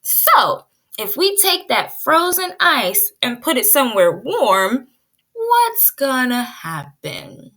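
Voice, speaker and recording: A woman pre-K teacher giving an expressive read-aloud lesson, drawing out key words and putting guiding questions to young listeners. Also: high-pitched. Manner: drawn-out emphasis on key words.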